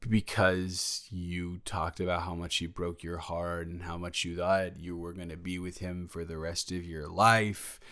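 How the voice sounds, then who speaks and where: A young man playing a protective, secretly jealous male roommate in an improvised intimate audio roleplay, talking in a casual, halting, hesitant way. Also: low voice, flat delivery, sharp tone. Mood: sheepish, guarded.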